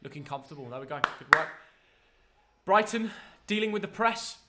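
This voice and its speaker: A man's voice: high voice, expressive, very noisy. A young man from North Yorkshire with a London accent, bantering and calling play on a live football watchalong stream, reading out donations and teasing his dad. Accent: London English. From North Yorkshire, England. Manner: quick banter, teasing, reads donations aloud.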